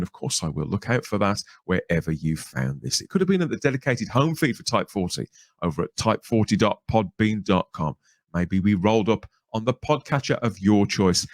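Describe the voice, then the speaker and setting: A man's voice: lowish voice, no room sound. Dry-sounding male podcast host, chatty patter. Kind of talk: podcast interview and scripted sign-off.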